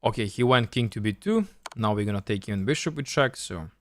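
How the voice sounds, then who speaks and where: Low-voiced Serbian man explaining chess. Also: lively intonation, a little fast, fairly bright tone. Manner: thinks aloud, explains step by step. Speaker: chess streamer and coach. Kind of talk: live stream chess commentary and teaching.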